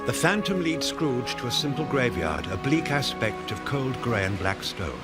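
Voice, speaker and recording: An actor as a dramatic storyteller, delivering scripted narration for a radio drama. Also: male voice, mid-range voice, big pitch swings, moderate pace, over loud music, natural voice. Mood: grave. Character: dramatic male storyteller narrating a ghostly tale.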